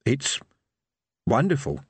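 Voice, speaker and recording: A man voicing a small, gruff, sentimental villain, a dark lord in an audiobook, with a hoarse voice. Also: mid-range voice, wildly swooping pitch, slow, dry recording, pitched above the performer's own voice, exaggerated voice. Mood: gruff, moved. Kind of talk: audiobook character voice.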